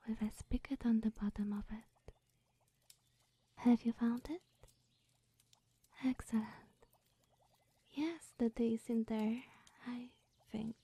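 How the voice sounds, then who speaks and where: A woman playing a flustered, eccentric young witch groundskeeper in an ASMR roleplay, rambling and awkwardly apologetic in a soft, breathy voice. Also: fairly high pitch, full character voice.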